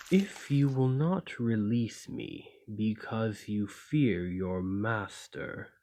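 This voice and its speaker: A man playing an imprisoned clay golem in an ASMR roleplay, polite and learned, speaking in formal, deliberate phrases. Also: lowish voice, darkish tone. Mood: cold, menacing. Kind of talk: scripted ASMR roleplay acting.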